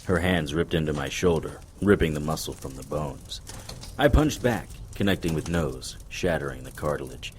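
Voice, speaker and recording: An actor playing a wry, unflappable occult detective, narrating an audio drama in a dry noir style. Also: male voice, a bass voice, animated, normal speed, slight background noise. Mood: grim.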